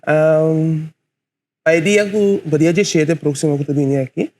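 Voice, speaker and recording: A man's voice: fairly high pitch, unhurried, darkish tone. Young man, hesitant poet guest. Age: 20s. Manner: hesitant, searching for words. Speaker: spoken word poet guest. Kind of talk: casual interview answers.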